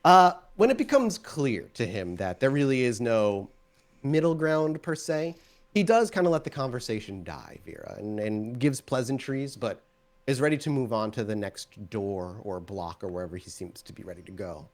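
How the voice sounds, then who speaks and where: Casting: male storyteller for a tabletop roleplaying game, medium pitch, conversational improvised narration. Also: animated, normal speed. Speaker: game master.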